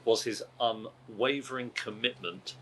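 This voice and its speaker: A man's voice: mid-range voice, fairly even intonation, measured pace, fairly bright tone, a little hiss or hum. A man giving a reflective testimonial in a campaign video. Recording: music bed under interview.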